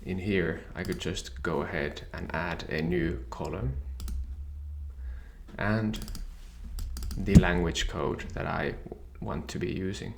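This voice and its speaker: Low gravelly man explaining software. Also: takes it slowly, background noise. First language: Finnish. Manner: calm, methodical explaining. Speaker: software tutorial presenter. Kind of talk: screen-share software tutorial.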